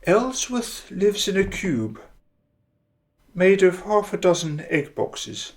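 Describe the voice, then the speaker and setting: Weird pompous poet, high voice swooping about, slow solemn reciting, radio play. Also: male voice, sing-song, full character voice. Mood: grandiose. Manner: declamatory, solemn. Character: self-important eccentric male performance poet. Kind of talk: radio drama acting.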